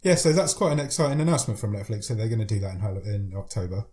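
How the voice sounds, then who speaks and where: A man's voice: lowish voice, animated, very fast, a little mellow. A man with a cold co-hosting a podcast, chatting casually and laughing often as he runs through news. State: has a cold. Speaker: podcast co-host. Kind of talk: casual chat.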